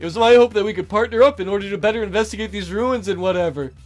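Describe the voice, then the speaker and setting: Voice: medium pitch, rapid-fire, a little mellow, soft background music, natural voice. A man voicing a smug, sleazy older male treasure hunter from a visual novel while playing it. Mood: smug, wheedling. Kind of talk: visual novel character voiced by a let's player.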